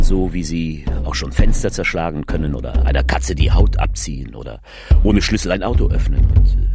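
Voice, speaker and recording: An actor playing a brooding, fatalistic commentator, musing hesitantly in a radio drama. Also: male voice, sits low, gravelly, exaggerated voice. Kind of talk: radio drama narration, reflective monologue.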